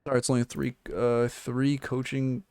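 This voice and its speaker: A hoarse man giving blunt, rambling game coaching notes on a livestream, full of fillers and restarts. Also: mid-range voice, level tone, moderate pace, darkish tone, no echo. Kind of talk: casual livestream coaching talk.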